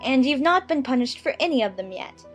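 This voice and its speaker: A woman playing a lively, chattering little girl who mock-scolds her kitten in a dramatized children's storybook. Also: high voice, fairly quick, husky, soft background music. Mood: playfully stern.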